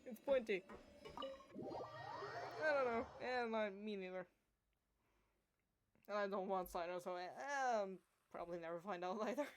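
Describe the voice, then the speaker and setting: A woman's voice: mid-range voice, normal speed, long pauses, background noise. A woman streaming a game, giving casual, sarcastic and playful reaction commentary. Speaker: gaming streamer. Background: game music.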